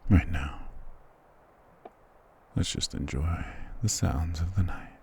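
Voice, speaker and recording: A man's voice: low-pitched, slow pace, creaky, darkish tone, a little hiss or hum, exaggerated voice. A man playing a dominant, doting vampire lord in an intimate ASMR roleplay, speaking tenderly and possessively with formal endearments. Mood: tender, seductive.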